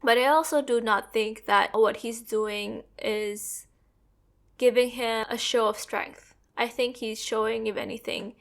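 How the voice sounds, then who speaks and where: A woman's voice: on the high side, fairly even intonation, a little slow, vocal fry. A woman co-hosting a podcast, explaining politics in long, earnest stretches of casual talk. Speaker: podcast co-host.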